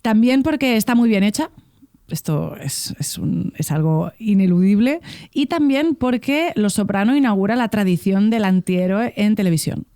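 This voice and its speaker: A woman's voice: medium pitch, animated, moderate pace, dark tone, no echo. Chatty woman guest, dry sound. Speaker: podcast guest. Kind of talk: casual conversation.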